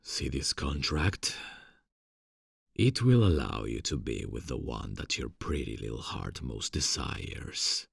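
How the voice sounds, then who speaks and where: A man playing a seductive, possessive devil in an ASMR fantasy roleplay, coaxing formally in a gravelly, husky voice into a close microphone. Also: extremely low pitch, sing-song, no echo, full character voice. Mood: smooth persuasion. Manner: slow, deliberate, coaxing, formal. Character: seductive, possessive male devil offering a soul contract. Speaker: voice actor. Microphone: close studio mic, dry.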